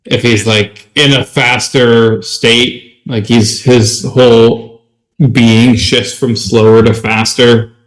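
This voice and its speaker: Male podcast co-host, slow pace, medium pitch, casual gaming talk. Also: flat delivery, rough recording. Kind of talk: casual tabletop gaming chat.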